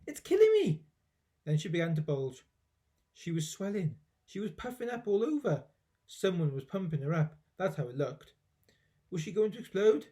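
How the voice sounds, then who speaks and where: A man reading a children's story aloud in an expressive storybook narration style. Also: a little high, normal speed.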